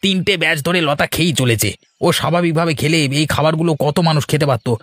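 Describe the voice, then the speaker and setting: Male actor as irritated village food server, rapid, fairly high voice, nagging, cartoon dialogue. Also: fairly bright tone, dry recording. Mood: complaining. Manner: irritated, scolding. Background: background music.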